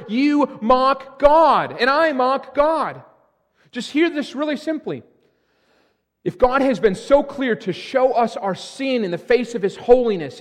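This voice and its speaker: A middle-aged man preaching a sermon as pastor in a church, emphatic with frequent restarts. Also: high-pitched. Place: church sanctuary. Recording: long hall reverb.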